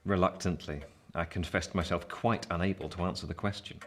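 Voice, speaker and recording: Low-voiced, reflective gentleman narrator. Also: steady tone, brisk, a little hiss or hum. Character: grown gentleman looking back on his life, reflective. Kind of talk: scripted first-person narration.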